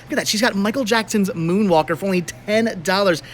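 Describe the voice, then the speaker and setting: A man's voice: high-pitched, a little fast, slight background noise. An American man hosting a casual shopping vlog, chatting to viewers and often repeating his words as he goes. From United States. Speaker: host of a movie-collecting video channel. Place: on location at a flea market and store.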